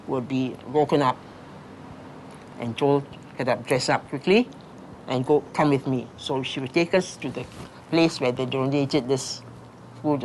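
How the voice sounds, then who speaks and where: A man's voice: mid-range voice, slight background noise, poor audio. A man in his seventies from Singapore, hesitantly recalling his childhood in a documentary interview. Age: 70s. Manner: hesitant, with restarts.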